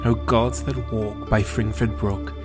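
Slow, low-voiced man reciting. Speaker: poetry podcast reader. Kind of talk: slow, measured poetry recitation and scripted narration. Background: prominent calm new-age music.

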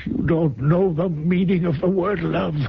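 An actor in a radio drama playing a dying old rich businessman, a moralizing, anxious father who speaks in a hoarse, halting, pleading way. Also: a little high, steady tone, background noise. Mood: earnest, dismayed. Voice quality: hoarse, weak, gravelly. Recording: dull, band-limited old radio recording.

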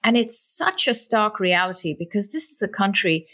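A woman hosting an interview, introducing her guest and asking questions in a measured, conversational way. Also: mid-range voice, expressive, a bit bright. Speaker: host. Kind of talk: radio-style interview.

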